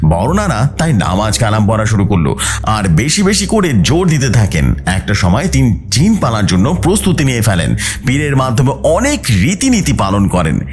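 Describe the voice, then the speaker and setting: A man's voice: mid-range voice, wildly swooping pitch, moderate pace, soft background music. A man hosting and narrating horror stories in a dramatic, suspenseful way, drawing out key words for emphasis. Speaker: host of a horror story channel. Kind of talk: dramatic scripted horror storytelling.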